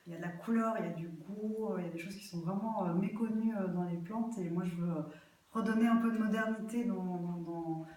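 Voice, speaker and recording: A woman from Belgium answers interview questions in a mellow, relaxed voice. She speaks off the cuff and pauses often to think. She sounds set back from the microphone in a very reverberant space. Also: medium pitch. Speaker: interview guest, aspiring herb grower. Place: echoey festival venue. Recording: very echoey room, distant microphone.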